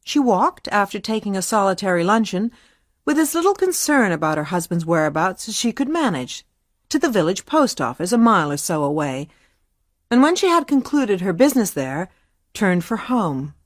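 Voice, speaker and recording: A woman's voice: mid-range voice, very animated, moderate pace, dry recording, studio quality. A woman narrating a literary story for a radio play from a script.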